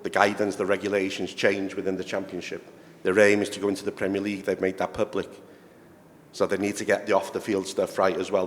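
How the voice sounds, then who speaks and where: A man speaking as a sporting director on a conference panel, measured and repeating words as he builds his points. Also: fairly low pitch. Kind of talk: panel discussion. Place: conference hall. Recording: reverberant hall with faint noise.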